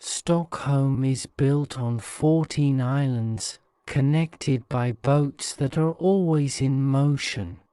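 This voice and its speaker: Slow, smooth man narrating a sleep story. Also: medium pitch, dark tone, dry recording, clean studio sound. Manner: soothing, measured.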